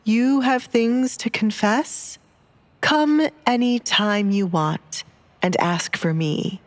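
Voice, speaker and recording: A woman voicing an exasperated, flustered male priest in an audio drama, speaking in a deliberate, protesting manner. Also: medium pitch, pitched above the performer's own voice, natural voice. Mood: inviting.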